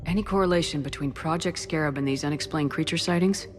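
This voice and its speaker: A woman playing a resolute military space commander in a video game, brisk, businesslike and commanding. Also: low-pitched, faint music behind, lightly acted. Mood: focused, businesslike.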